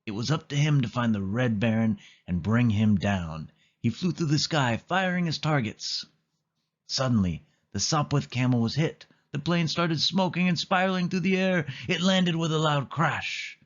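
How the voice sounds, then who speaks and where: A man's voice: fairly high pitch, normal speed, highs cut off. A man narrating a children's storybook as a scripted read-aloud.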